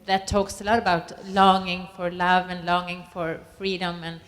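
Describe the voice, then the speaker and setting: A woman's voice: fairly low pitch, flat delivery, normal speed, fairly bright tone. Woman commenting, hesitant. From Sweden. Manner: hesitant, searching for words with many fillers. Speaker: audience participant. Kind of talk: spontaneous comment at a workshop.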